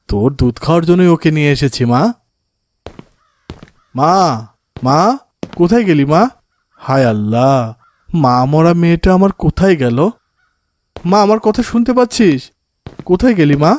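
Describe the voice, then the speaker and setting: Male actor as anguished, devout village father, cartoon melodrama. Mellow tone, pleading delivery, dry recording. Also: medium pitch, no room sound, close to an everyday voice. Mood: tender, then panicked, pleading. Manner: pleading, emotive, often invoking God.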